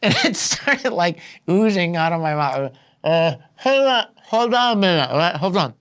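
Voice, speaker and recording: A man's voice: sits high, a little slow, clean tone. A male voice actor telling behind-the-scenes stories in an interview, acting out the lines he quotes. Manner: lively storyteller acting out quotes. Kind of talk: interview anecdote.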